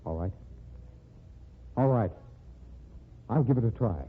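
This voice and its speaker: A man's voice: fairly low pitch, expressive, slow. An actor playing a wary, bitter man who came back from the dead, in an old radio drama. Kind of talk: 1940s radio drama acting. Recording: tinny lo-fi old radio broadcast.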